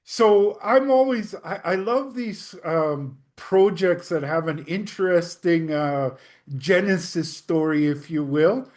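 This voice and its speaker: A man's voice: high voice, slow, a little mellow. A man hosting an interview, asking friendly questions with hesitant "um" fillers. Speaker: interview host.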